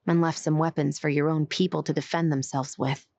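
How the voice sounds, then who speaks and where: An actress playing a stern, formal, fair-minded police officer in a video game. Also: female voice, sits low, fairly even intonation, fairly quick, darkish tone, no room sound, muffled recording. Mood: stern, earnest.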